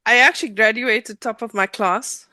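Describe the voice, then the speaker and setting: A woman's voice: a little high, moderate pace, sharp tone, no echo. A middle-aged woman with a South African accent, telling her own story conversationally as an interview guest.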